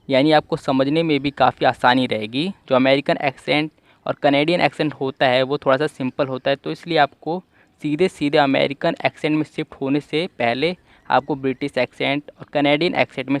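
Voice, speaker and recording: A young man hosting an online English-listening lesson, giving repetitive advice in a steady explanatory voice-over. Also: on the high side, no echo. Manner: repetitive, advising tone. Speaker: English-learning channel host.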